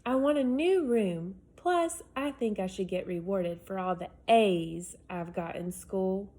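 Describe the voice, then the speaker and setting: A woman's voice: medium pitch, a little slow, darkish tone, lightly acted. A woman voicing a pushy young boy who writes letters begging his dad for his own room, whiny and overdramatic. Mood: pleading.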